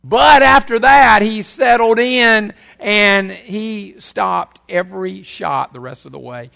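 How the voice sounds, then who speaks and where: Older pastor with a gravelly voice. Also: male voice, high-pitched, takes it slowly, bright, forceful tone. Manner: folksy, chatty storytelling with many uhs. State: head cold. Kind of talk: sermon.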